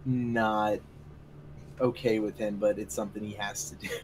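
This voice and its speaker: A man's voice: fairly low pitch, flat delivery, moderate pace, poor audio. A man chatting casually as a player in a tabletop roleplaying game. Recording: faint background noise.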